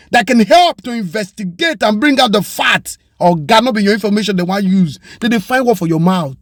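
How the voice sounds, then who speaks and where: Nigerian man, Pidgin English accent, high voice, impassioned commentary, rhetorical questions, close dry mic. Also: no echo. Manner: passionate, rhetorical questions, mixing Pidgin and Yoruba. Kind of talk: opinion commentary monologue.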